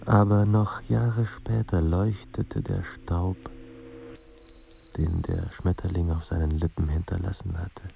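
Casting: somber radio-play narrator, introspective and measured, dark low voice. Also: male voice, flat delivery, gravelly, full character voice. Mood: somber, reflective. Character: somber male literary narrator of a troubled writer's life. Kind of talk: scripted literary narration in a German radio play. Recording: dull sound with faint background noise.

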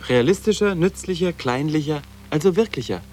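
An actor playing a sarcastic, intellectual teenage schoolboy in a literary radio drama, with a clipped, lecturing, defiant manner. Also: male voice, on the high side, lively intonation, brisk, darkish tone, soft background music, lightly acted. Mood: dry sarcasm.

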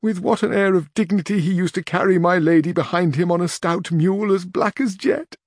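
A man's voice: fairly quick, a little mellow, no echo, clean studio sound. A man reading the part of an elderly widowed duenna who tells her story at length and turns tearful. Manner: long-winded, earnest, rises higher when weeping. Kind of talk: audiobook narration.

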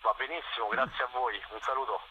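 A man's voice: mid-range voice, fairly even intonation, quick pace, breathy edge. A man giving information as a radio interview guest by telephone, hesitating as he finds words. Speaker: interview guest, exhibition photographer. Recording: noisy telephone line on radio.

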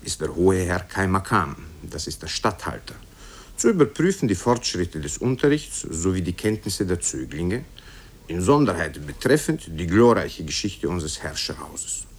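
A man's voice: deep voice, sing-song, normal speed, breathy edge, full character voice. A man voicing a pompous, officious schoolteacher in a radio story, declaiming ornate, emphatic instructions to his noble pupils. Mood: pompous, commanding.

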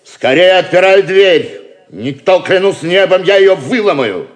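An actor playing an insistent, forceful poor wanderer in an audio drama, declamatory in delivery. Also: male voice, high voice, expressive, unhurried. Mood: threatening. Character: pleading poor wanderer turning impatient and forceful.

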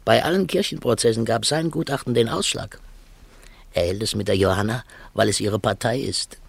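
An actor playing a scholarly court official with a gravelly, husky voice, measured and dry. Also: male voice, fairly low pitch, no echo. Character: scholarly court official gossiping about the trial. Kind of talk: radio play acting.

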